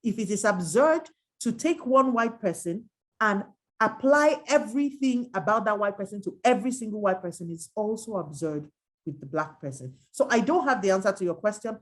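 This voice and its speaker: A woman's voice: mid-range voice, moderate pace. Middle-aged woman from nigeria, educator, earnest and emphatic, keeps quoting people. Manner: earnest, emphatic, quotes others in lively asides. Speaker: adult educator and researcher. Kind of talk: reflective dialogue.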